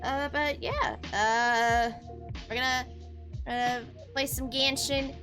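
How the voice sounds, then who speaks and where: A woman's voice: high voice, steady tone, slow, fairly bright tone. A young woman chatting casually as she hosts a video game livestream. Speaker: game streamer. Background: game music.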